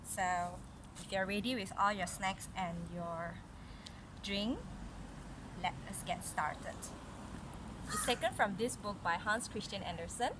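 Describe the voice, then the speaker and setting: A woman reading a picture book aloud to a young child, with gentle, encouraging, chatty asides to explain the pictures. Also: mid-range voice, unhurried, long pauses. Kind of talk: casual read-aloud storytelling with chatty asides. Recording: noisy recording with vehicle-like background hum.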